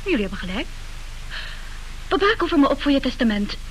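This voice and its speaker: A merchant's young daughter in a radio play. Her voice is fairly high, her manner resolute and coolly businesslike, every point clearly set out. Faint hiss on an old recording. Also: very animated, quick pace, low-quality recording. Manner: decisive, matter-of-fact, articulate. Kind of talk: Dutch radio play acting.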